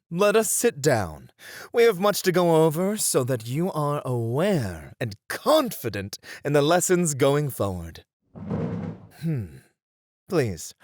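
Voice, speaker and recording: Man playing a slow, genteel etiquette teacher. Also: medium pitch, no room sound, studio quality. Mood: gentle, approving. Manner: measured, formal, genteel, theatrical. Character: poised, courteous, patient etiquette teacher named Roy. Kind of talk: ASMR roleplay voice acting.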